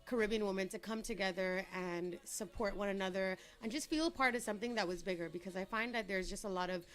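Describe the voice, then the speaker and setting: A woman's voice: mid-range voice, fast, fairly bright tone, faint music behind. A young adult woman from Trinidad, a guest entrepreneur in a casual interview, talking in an upbeat, chatty way and laughing easily.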